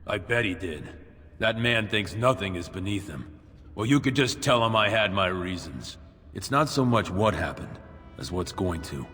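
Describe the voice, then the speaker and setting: An actor playing a plain-spoken, wary dwarf warrior in a video game, blunt and measured. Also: male voice, low voice, expressive, a bit bright. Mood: guarded. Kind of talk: video game character acting. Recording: long reverb, music behind.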